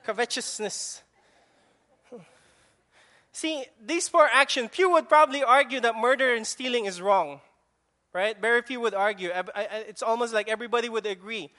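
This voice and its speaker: A male preacher with a Filipino accent delivers a sermon in a noticeably high voice. He stresses his points emphatically, often repeating words and restarting his sentences. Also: normal speed, pauses often, bright, forceful tone. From Philippines. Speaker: pastor.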